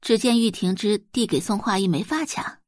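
A female narrator delivers dramatic scripted fiction with very lively intonation. Her voice is slightly husky and of medium pitch, recorded dry and close. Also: normal speed, no echo.

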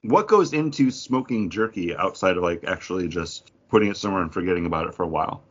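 A man chatting casually as a podcast host, with a playful, enthusiastic manner and a husky voice. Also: sits low, animated, quick pace, muffled recording. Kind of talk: casual joking podcast chat.

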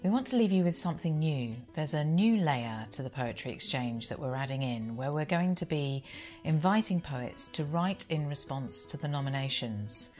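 A woman's voice: sits low, expressive, moderate pace, a little mellow. A woman podcast host giving a scripted-sounding closing announcement. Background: plucked guitar music.

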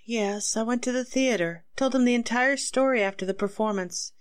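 A woman playing a distraught accused wife in 1940s radio melodrama, halting, anxious and pleading. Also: on the high side, close to an everyday voice.

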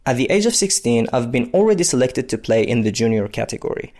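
A man from the Democratic Republic of Congo, in his thirties, tells his own story. His voice sits in a middle register. He talks conversationally and often pauses on fillers. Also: mid-range voice, big pitch swings, a little mellow. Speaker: interviewee, basketball player. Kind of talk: personal storytelling.

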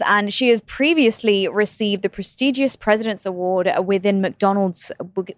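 A woman's voice: medium pitch, no echo. Enthusiastic woman podcast host. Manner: enthusiastic, effusive, laughs often. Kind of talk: podcast interview hosting.

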